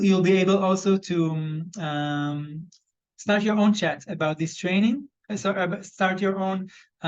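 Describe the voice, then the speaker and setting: A man with an Israeli accent and a fairly high voice runs an online job search training. He speaks loosely, full of ums and self-corrections. The video call audio is slightly dull. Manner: loose, conversational, lots of fillers and restarts. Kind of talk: live online training session.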